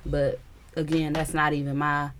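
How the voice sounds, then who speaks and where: A woman's voice: low voice, flat delivery, normal speed, a bit bright, a little hiss or hum. Rambling woman in her thirties. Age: 30s. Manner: loose, rambling storytelling with slang. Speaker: podcast host. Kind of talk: casual chat among friends.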